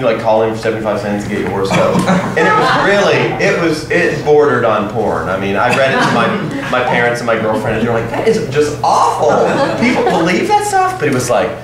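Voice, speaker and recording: A young man with a Southern accent, a stage actor chatting with students in a relaxed question-and-answer session, telling stories animatedly and laughing often. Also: medium pitch, a little fast, hardly any pauses. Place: college hall with audience. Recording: echoey hall from far off, noisy.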